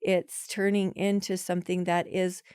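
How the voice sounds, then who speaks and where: A woman's voice: lowish voice, level tone, normal speed, darkish tone, clean tone, no echo, clean studio sound. A woman in her forties, a podcast guest, talking in a chatty, emphatic way with many restarts. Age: 40s. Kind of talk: casual conversational interview.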